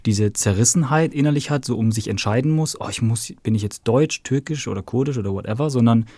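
A man's voice: mid-range voice, a little fast, mellow, no echo. A man in a band explaining his view casually in an interview, with many fillers. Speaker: band member. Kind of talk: interview answer.

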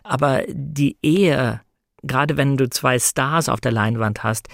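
A man's voice: mid-range voice, takes it slowly, creaky, fairly bright tone, dry recording, studio quality. A man talking reflectively and conversationally about film and novels, explaining his analysis as a guest in a discussion.

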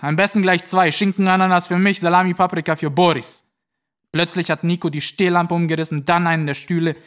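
An actor as a higher-voiced brazen young thug. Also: male voice, a bit bright, close to an everyday voice. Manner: slangy, brazen. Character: tough, crude young male gang member. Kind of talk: narrated monologue in a German audio play.

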